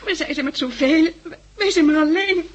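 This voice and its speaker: A woman's voice: extremely high pitch, normal speed, highs cut off. An actress playing a frightened, emotional young female survivor in a 1960s Dutch radio drama.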